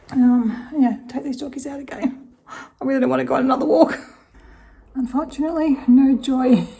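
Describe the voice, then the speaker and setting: A middle-aged Australian woman with a slightly croaky voice, chatting casually through her day in a home vlog and thinking aloud with soft laughs. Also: on the high side, slight background noise, low-quality recording. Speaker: vlogger. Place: home kitchen.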